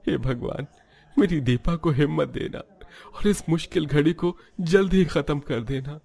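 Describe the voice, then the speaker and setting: A man plays a caring young family man facing hardship in a cartoon drama. His voice sits fairly high for a male, and he speaks with earnest, pleading sincerity. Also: a little slow, gravelly, dark tone. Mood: praying. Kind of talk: Hindi animated family drama voice acting.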